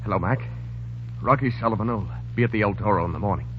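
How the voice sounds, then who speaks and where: An actor in a 1930s radio drama playing a cowardly, pleading crook with a stammering, nervous, placating manner. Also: male voice, on the low side, quick pace, lightly acted. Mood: strained, subdued. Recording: dull, hissy 1930s radio recording.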